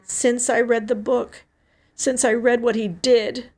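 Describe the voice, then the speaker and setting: A woman's voice: fairly high pitch, level tone, slow, darkish tone, pitched above the performer's own voice. A woman voicing a grieving, protective older sister in an audiobook story, emotional and emphatic in her delivery. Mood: resolute, confessing. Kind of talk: dramatic audiobook character dialogue.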